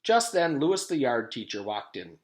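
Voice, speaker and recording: A man's voice: medium pitch, sing-song, moderate pace. A man reading a children's book aloud in the style of a teacher's storytime, narrating expressively.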